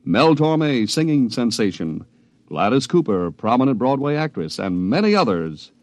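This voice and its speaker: A man's voice: mid-range voice, big pitch swings, moderate pace, darkish tone, no echo. An American man reading a 1950s network radio promo as a polished announcer.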